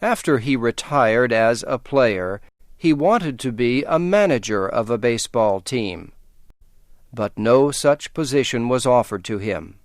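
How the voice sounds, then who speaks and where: Male narrator, American accent. Very measured, slow educational read, careful enunciation, dry close sound. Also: medium pitch, dry recording.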